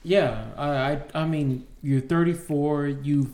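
A man's voice: a little high, steady tone, a little mellow, a little hiss or hum. A man from Atlanta in casual, tipsy podcast chat with friends, often stumbling and repeating words as he talks. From Atlanta, Georgia. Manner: halting, repeats words and restarts. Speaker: podcast co-host.

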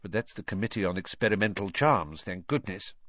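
A man's voice: on the low side, animated, brisk, a bit bright, no room sound, lo-fi sound. A man voicing a tired, kindly middle-aged wizard father who stammers when flustered. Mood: weary. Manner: stammering, sheepish, weary.

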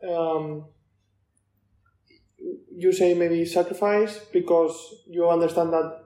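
Man asking questions, hesitant, flat intonation, echoey room. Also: on the high side, slow. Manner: hesitant, restarts his questions. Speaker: guest interviewer. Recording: roomy echo.

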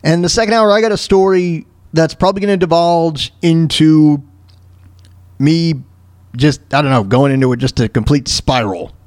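Male talk radio host, high pitch, very dry close sound, casual rambling commentary, exclamatory. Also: moderate pace, a little mellow, no echo. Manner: rambling, exclamatory, repeats phrases.

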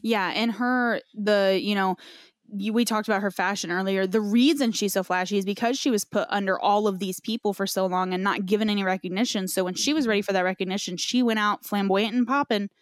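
A woman's voice: medium pitch, brisk, dry recording, studio quality. A woman hosting a podcast in casual conversation, speaking informally and emphatically with some slang. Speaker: podcast host.

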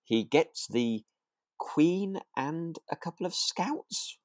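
A man's voice: a little high, expressive, takes it slowly, a little mellow, no echo, clean studio sound. A man co-hosting a podcast, recapping a drama in casual, agreeable chat with hesitant, trailing asides. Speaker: podcast co-host.

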